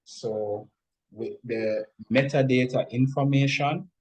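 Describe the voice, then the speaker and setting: A man speaking as an interview guest, explaining things in a measured, instructive way. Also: medium pitch, fairly even intonation, a little mellow, low-quality recording. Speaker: interview guest, music industry expert.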